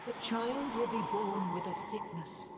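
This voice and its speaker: An actress voicing a mysterious, eerie woman in a game who speaks slowly in a hushed, ominous way. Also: on the high side, very noisy, natural voice. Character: eerie mysterious woman offering dark help. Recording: cavernous reverb with loud ambience.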